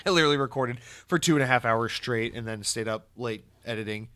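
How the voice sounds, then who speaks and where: A young man hosting a gaming podcast, talking in a casual, opinionated way, his voice hoarse and tired, blunt and swearing freely. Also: mid-range voice, a little fast, fairly bright tone.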